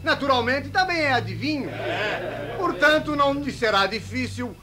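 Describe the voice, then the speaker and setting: High-pitched, jeering Roman soldier. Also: male voice, lively intonation, normal speed, crowd noise. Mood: gleeful scheming. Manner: mock-ceremonial, declamatory. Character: cruel jeering Roman soldier, gleeful mocker. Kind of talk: old-fashioned radio drama acting.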